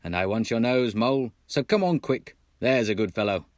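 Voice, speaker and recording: A man voicing a cheerful, brisk storybook water rat, heartily urging. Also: medium pitch, animated, darkish tone, dry recording, studio quality, lightly acted.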